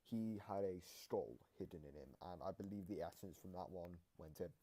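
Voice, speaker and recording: A man hosting a reaction video, casually explaining game lore in a halting way that often trails off. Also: sits low, dark tone.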